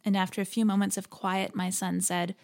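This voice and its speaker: A woman hosting a podcast, delivering a reflective scripted monologue in an earnest, measured, articulate way. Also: medium pitch, little pitch movement, darkish tone. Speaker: podcast host; interfaith leader.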